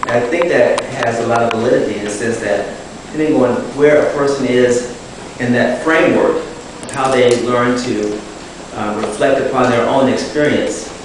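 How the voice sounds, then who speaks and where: A man giving a public talk, answering an audience question in a thoughtful, measured way, often restarting his phrases. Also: mid-range voice, background noise. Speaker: guest speaker. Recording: echoey hall taped from far off.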